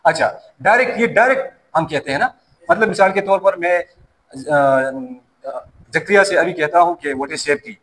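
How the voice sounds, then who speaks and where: A man's voice: on the high side, moderate pace, fairly bright tone. A man, teaching a safety class in a classroom and answering his own questions as he explains. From Pashto-speaking region of Pakistan. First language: Pashto. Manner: explains by asking questions and answering them himself. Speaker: safety course instructor. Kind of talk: classroom lecture.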